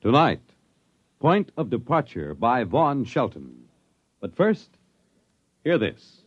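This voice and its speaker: A man's voice: mid-range voice, big pitch swings, takes it slowly, slightly rough, no room sound. An American man reading a script as a 1950s radio announcer, formal, dramatic and emphatic. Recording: muffled 1950s radio recording, music behind.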